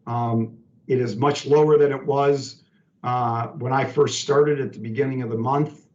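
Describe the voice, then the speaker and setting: A man making staff announcements on a work conference call in a halting, deliberate way. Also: mid-range voice, fairly even intonation, darkish tone. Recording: echoey, distant, dull conference call.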